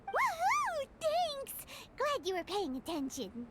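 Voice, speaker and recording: A woman voicing a tiny, chirpy, blunt fairy companion in a video game, bubbly and excitable. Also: slow, heavy background noise, exaggerated voice. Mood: delighted. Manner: bubbly, squeaky, excitable, refers to herself in third person. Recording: game soundtrack playing under voice.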